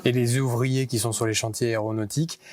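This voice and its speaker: A man's voice: medium pitch, steady tone, fairly quick, a little mellow. A man asking questions as an interviewer in an informal, attentive and somewhat hesitant way.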